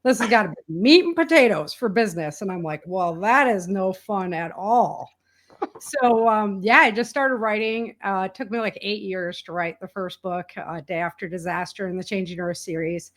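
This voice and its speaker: An American woman speaks as a guest in a casual conversation. She tells stories candidly, in everyday slang, often quoting people as she goes. Her voice sits at a middle pitch. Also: moderate pace, fairly bright tone. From rural United States. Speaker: guest, indie author and entrepreneur.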